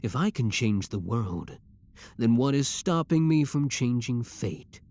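A man playing an obsessive, menacing young villain with cold devotion, speaking deliberately and theatrically in a scripted ASMR roleplay. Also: mid-range voice, expressive, darkish tone. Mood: brooding resolve.

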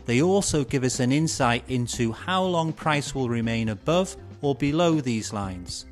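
A middle-aged man presenting scripted instructional narration in a measured, explanatory way, posing rhetorical questions. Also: medium pitch, animated. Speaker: presenter of an educational film. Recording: music bed behind studio voice. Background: instrumental music.

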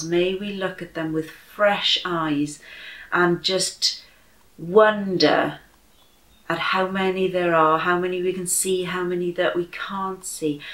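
Slow-paced woman in an echoey room. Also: lowish voice, a bit bright. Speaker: children's church story reader. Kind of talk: slow expressive read-aloud and prayer for children. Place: bright room at home by a window, indoors. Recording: roomy echo.

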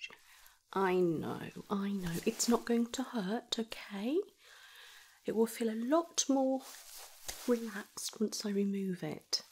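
Soft-whispering woman, slow and soothing. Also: on the high side, slight background noise. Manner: soothing, reassuring, gently shushing. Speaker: carer tending a child's graze. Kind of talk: ASMR first-aid roleplay.

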